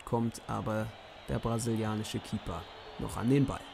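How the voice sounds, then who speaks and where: A hoarse young man giving lively play-by-play summaries of simulated football matches. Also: fairly low pitch, moderate pace, slight background noise. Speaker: host commentating simulated matches.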